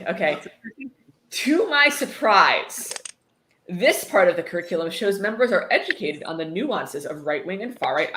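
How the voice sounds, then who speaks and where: A woman's voice: on the low side, a bit bright. A woman with a dry, sarcastic manner, reading a book aloud and adding casual commentary. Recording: roomy echo, faint noise.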